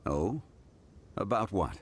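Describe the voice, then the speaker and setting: Deep-voiced, solemn ancient god. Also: male voice, expressive, slight background noise. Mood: gentle. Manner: measured, formal, grave. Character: ancient god in human form, calm, dignified, solemn older-sounding man. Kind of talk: video game character dialogue. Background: background music.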